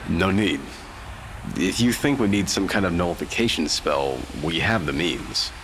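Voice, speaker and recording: A man playing a gruff, world-weary investigator in an audio drama, terse, guarded and dry in his delivery. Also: sits low, unhurried, a little hiss or hum, poor audio. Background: prominent music.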